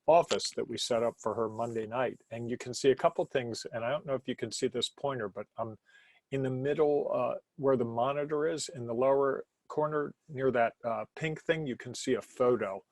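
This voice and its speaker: Man hosting a home-office webinar, loud voice reined in to a laid-back talk, dry mic. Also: mid-range voice, moderate pace, dry recording. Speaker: webinar presenter.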